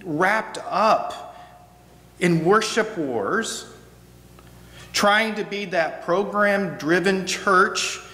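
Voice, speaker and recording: A middle-aged American man giving a reflective sermon as guest preacher, measured, with deliberate pauses and repeated phrases, at a church pulpit microphone. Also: high-pitched, a bit bright. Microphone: gooseneck pulpit microphone. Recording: echoey hall, noticeable hum.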